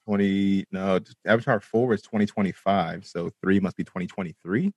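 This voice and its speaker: A man's voice: sits low, moderate pace, breathy edge, dry recording. A man talking casually about movies as a podcast co-host, rambling along and swearing freely. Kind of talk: casual movie discussion among friends.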